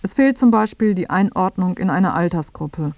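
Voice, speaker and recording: A woman's voice: fairly low pitch, expressive, normal speed, a little mellow, dry recording. A woman playing a dry, matter-of-fact presenter, reading scripted narration for a satirical radio feature.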